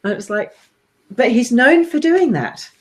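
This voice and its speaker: A woman's voice: on the high side, big pitch swings, moderate pace. A woman speaking as a guest in a casual panel discussion, explaining her points steadily and firmly.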